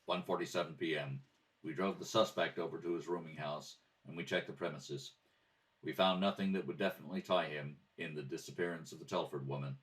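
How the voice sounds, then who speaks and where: A man reading scripted police procedural narration in a clipped, matter-of-fact, deadpan way. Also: a bass voice, fairly quick. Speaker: amateur radio theatre reader. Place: at a kitchen table. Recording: slightly distant home microphone.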